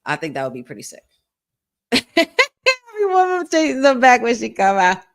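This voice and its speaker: A woman co-hosting a live wrestling watch-along, chatting casually and laughing often. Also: fairly high pitch. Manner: animated, chatty, quick to laugh.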